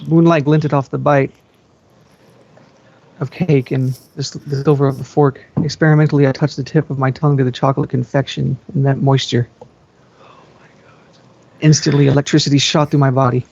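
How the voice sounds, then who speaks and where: A man's voice: medium pitch, little pitch movement, moderate pace, gravelly, pauses often, a little mellow, highs cut off, slight background noise. A man reading fan fiction aloud as a first-person narrator.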